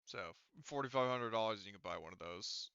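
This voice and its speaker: A man's voice: lowish voice, fairly quick, gravelly, bright, forceful tone, smooth, dry recording, muffled recording. A man acting as game master in casual online play, explaining things hesitantly and thinking aloud as he goes.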